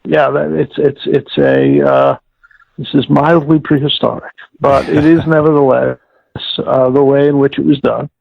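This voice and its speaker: An older man being interviewed, explaining and reminiscing in a deliberate, halting way with formal wording and self-corrections, in a gravelly, husky voice. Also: medium pitch, expressive. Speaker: interviewee, former audio producer-director. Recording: tinny phone-like line with room echo.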